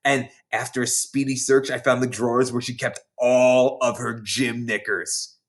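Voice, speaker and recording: A man's voice: medium pitch, fairly even intonation, measured pace, natural voice. A man voicing a boastful, battle-hardened schoolgirl prankster who tells dramatic war stories from a children's storybook. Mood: sly, boastful.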